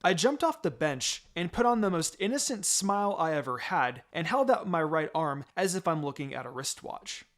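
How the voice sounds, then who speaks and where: A man narrates a fan-written story aloud in the first person. His voice sits a little high and moves up and down a lot, giving the reading an expressive, animated feel. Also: normal speed, few pauses. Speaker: fan fiction reader.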